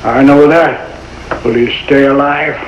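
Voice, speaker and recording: A man's voice: medium pitch, fairly even intonation, slow, a little hiss or hum, close to an everyday voice. An actor playing a stubborn, feuding old backwoods man, blunt and folksy, in a 1950s television drama. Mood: menacing, worried. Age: older. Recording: dull vintage TV soundtrack with music.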